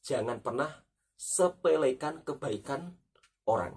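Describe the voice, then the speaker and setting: A man's voice: mid-range voice, expressive, unhurried, a little mellow, slightly rough, far from the mic. A man gives conversational commentary as a host, emphatically repeating his points and often adding tag questions. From Indonesia. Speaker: host of a reaction talk.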